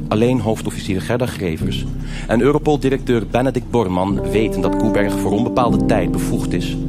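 A man with a fairly low voice narrates a noir radio play. His delivery is measured and formal, like scripted storytelling, and music plays loudly behind him. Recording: live stage radio play over loud music.

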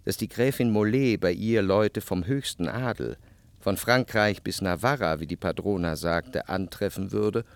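Male narrator for literary radio drama, lowish voice, close dry sound. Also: normal speed, vocal fry, no room sound.

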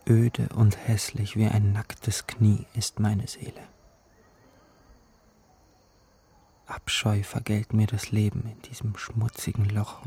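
Brooding young narrator, gravelly and low. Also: male voice, mellow, exaggerated voice. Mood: bitter, brooding. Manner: brooding, intimate, sometimes whispering. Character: bitter, dreamy poor youth narrating his life. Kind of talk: literary radio drama narration.

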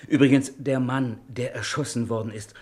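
An actor playing a worried, self-interested show-business manager who speaks deliberately and emphatically in a radio crime drama. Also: male voice, medium pitch, steady tone, a little mellow, close to an everyday voice. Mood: uneasy, probing.

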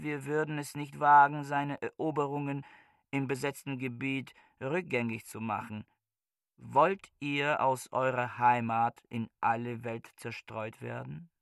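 An actor in a German radio play playing a fanatical, bookish scholar who speaks in solemn, pompous oratory. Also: male voice, mid-range voice, creaky, a bit bright, no room sound, clean studio sound. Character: fanatical bookish male scholar haranguing his books like troops.

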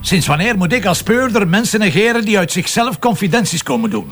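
An actor playing a cocky, stubborn Flemish police detective who speculates aloud with sarcastic self-assurance in a crime drama. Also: male voice, high-pitched, lively intonation, fairly quick, fairly bright tone, husky, faint music behind, full character voice. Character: cocky, stubborn male police detective following his gut. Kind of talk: naturalistic television crime drama acting.

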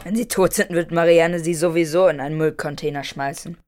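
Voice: mid-range voice, steady tone, a little fast, a little mellow, natural voice. A young performer playing a precocious twelve-year-old grandson in a German radio play, blunt, pedantic and matter-of-fact.

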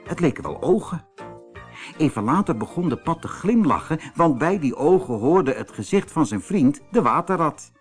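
Animated male storyteller over music. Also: a little high, normal speed. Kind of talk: expressive children's audiobook narration.

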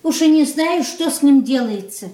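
Meek old mother, highish voice. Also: expressive, normal speed, dark tone. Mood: worried. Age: older. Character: meek, worried old merchant's wife and mother. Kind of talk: Soviet radio drama stage acting. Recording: roomy echoing studio recording.

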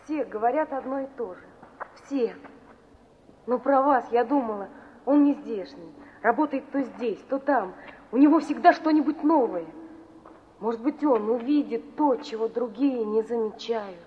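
Актриса в роли мечтательная девушка, узкий телефонный звук. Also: female voice, on the high side, moderate pace, close to an everyday voice. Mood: wistful. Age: young adult. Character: dreamy, disillusioned young woman remembered in flashback. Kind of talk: radio play acting. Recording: narrow, tinny, noisy old radio recording.

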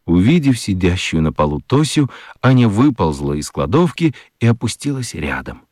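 A man narrating a children's radio play as an expressive storyteller. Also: on the low side, moderate pace, dry recording, studio quality.

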